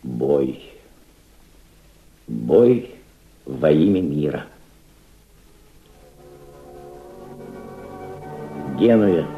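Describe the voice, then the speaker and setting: An actor playing a kindly, thoughtful diplomat in a Soviet radio play, with measured theatrical delivery. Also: male voice, extremely low pitch, exaggerated voice. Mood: solemn, oratorical. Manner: theatrical, measured, addresses his companion gently. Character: kindly middle-aged Soviet diplomat, fatherly, thoughtful, resolute. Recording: muffled archival radio drama recording, music behind.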